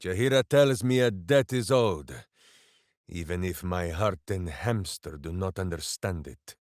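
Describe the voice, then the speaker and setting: An actor playing a big, hearty, simple-hearted heroic warrior in a fantasy video game, earnest and grandiose in a theatrical way. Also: male voice, low-pitched, lively intonation, a little slow, no echo, studio quality. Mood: solemn. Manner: boisterous, earnest, grandiose, speaks of himself in third person.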